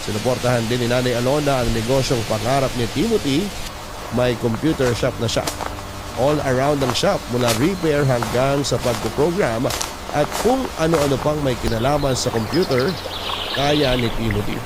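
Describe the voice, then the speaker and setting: A man with a Filipino accent reading a letter as a radio host, in measured, heartfelt, dramatic narration. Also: medium pitch, noisy background. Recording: loud music under the voice.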